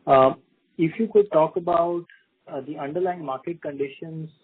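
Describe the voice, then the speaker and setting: A man asking questions as an analyst on an earnings call, polite and halting, over a phone line. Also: fairly high pitch, steady tone, husky, no echo. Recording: narrow dry phone line.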